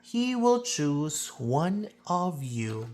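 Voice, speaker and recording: A woman reader puts on a male train conductor from a storybook. He is hearty and commanding, inviting passengers along with widely swinging pitch. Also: big pitch swings, very slow, darkish tone, a little hiss or hum, heavily acted. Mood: assured, proclaiming.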